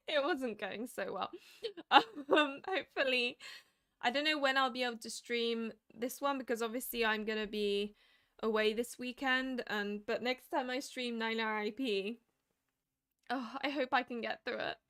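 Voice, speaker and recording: A woman in her late twenties chatting casually on a stream, animated and laughing often. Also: on the high side, measured pace. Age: 20s. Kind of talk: casual chat.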